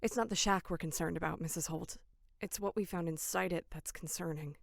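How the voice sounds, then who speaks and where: A woman plays a serious young female camp counselor in a horror audio drama. Her voice sits a little low in a dry, close recording, and she is polite yet insistent. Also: a little fast, gravelly, no room sound.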